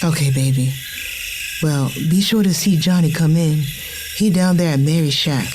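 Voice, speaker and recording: A woman's voice: sits low, normal speed, background noise, lightly acted. A woman playing a tired, caring but firm enslaved mother in an audio drama, with a Southern American accent. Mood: tender, tired.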